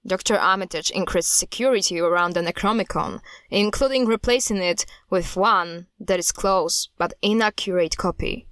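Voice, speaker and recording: Audio drama: blunt female cult hunter, played by a woman, matter-of-fact, mid-pitched, dry sound. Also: normal speed, few pauses, fairly bright tone, clean tone, no echo, close to an everyday voice. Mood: grave, wary. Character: blunt, guarded woman hunting a cult, estranged daughter.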